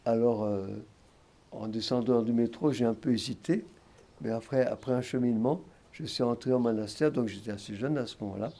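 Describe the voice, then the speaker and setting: Older monk in his sixties, calm storyteller, mellow warm tone. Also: medium pitch, brisk. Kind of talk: documentary interview.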